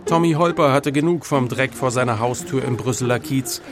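A man reading a scripted voice-over for a TV news report in a measured, even way. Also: mid-range voice, music in the background. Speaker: news narrator.

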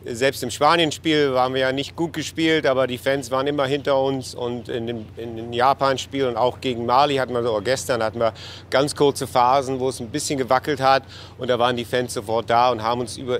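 Male coach from Berlin, halting interview answers, faint traffic behind. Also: medium pitch, fairly even intonation. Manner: hesitant, many fillers and restarts. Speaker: national basketball coach. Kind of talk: news interview.

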